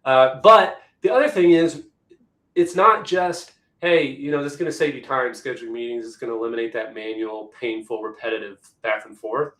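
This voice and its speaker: A man who works as an executive assistant, presenting a webinar in a chatty, conversational way, slightly hoarse from losing his voice. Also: mid-range voice, fairly even intonation, normal speed, fairly bright tone, reverberant, low-quality recording. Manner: chatty, conversational, many restarts.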